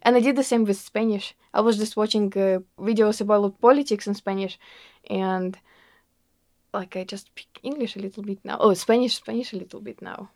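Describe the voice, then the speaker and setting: Young Russian-accented woman, gravelly. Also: mid-range voice, fairly even intonation, brisk, darkish tone, dry recording, studio quality. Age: young adult. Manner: earnest, fillers like "like" and "you know", laughs often. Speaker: interviewee. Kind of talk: casual conversational interview.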